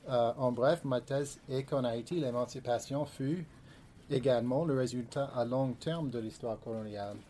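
A man speaking French as a second language, a historian on a panel, pausing often with fillers and repeating words as he reads out his prepared remarks. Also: mid-range voice, dark tone, a little hiss or hum. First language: not French. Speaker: historian and author, panel guest. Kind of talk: academic panel discussion, partly reading prepared text.

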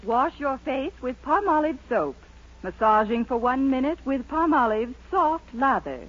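A woman announcer delivers vintage commercial copy with lively intonation. The sound is that of a dull 1940s radio recording. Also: sits high, a little slow.

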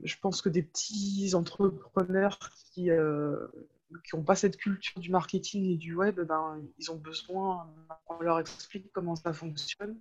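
A woman's voice: fairly low pitch, steady tone, unhurried, a little mellow. Woman chatting on a dull, lo-fi recording. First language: French. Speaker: podcast guest, web entrepreneur. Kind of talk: casual interview, personal storytelling.

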